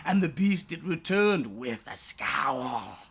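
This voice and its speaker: A storyteller narrating a rhyming bedtime story in a theatrical, drawn-out way with dramatic swoops. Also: mid-range voice, sing-song. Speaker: storyteller, author reading own story.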